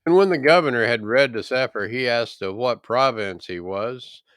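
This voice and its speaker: A man reading scripture aloud in a solemn, measured and declamatory way. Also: mid-range voice, fairly bright tone, clear, resonant tone, no echo, studio quality. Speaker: scripture reader.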